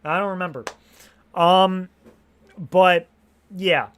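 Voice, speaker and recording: A man's voice: high voice, takes it slowly, fairly bright tone, clean tone. Rambling, swearing young male streamer. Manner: rambling, restarts sentences, swears casually. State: slightly hoarse from long streaming. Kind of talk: casual live stream chat.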